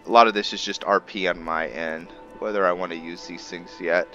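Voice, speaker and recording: A man giving casual commentary on his roleplay playthrough, haltingly thinking aloud as he goes. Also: low voice, fairly even intonation, sharp tone, lo-fi sound, soft background music. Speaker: gamer narrating a roleplay playthrough.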